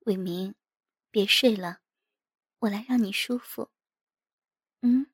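A woman voicing an anxious, tender wife in an audio story, with a breathy, plaintive, cajoling delivery. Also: mid-range voice, takes it slowly, no echo, clean studio sound, voice pushed up. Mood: coaxing, tender.

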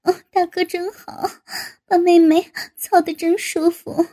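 A woman playing a shy, flustered middle-aged sister who speaks breathlessly and bashfully. The voice is very high yet soft and mellow, with a slow, drawn-out delivery. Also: little pitch movement.

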